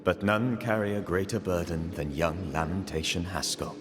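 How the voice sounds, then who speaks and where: Male actor as grave preacherly game storyteller: low, rhetorical, judging, drenched in reverb. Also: steady tone, normal speed, lightly acted. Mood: solemn, foreboding. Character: grave, moralizing male storyteller of a troubled settlement. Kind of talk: scripted dramatic narration. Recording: long reverb, music behind.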